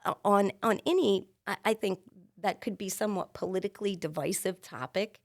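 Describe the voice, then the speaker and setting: A woman's voice: medium pitch, brisk, no echo, clean studio sound. A woman in her fifties, a teacher, speaking frankly and plainly as a guest in a casual interview. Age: 50s.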